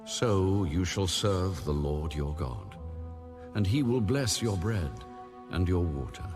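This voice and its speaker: A man narrating scripture in a solemn, measured, authoritative way, like an audio Bible. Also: sits low, animated. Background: background music.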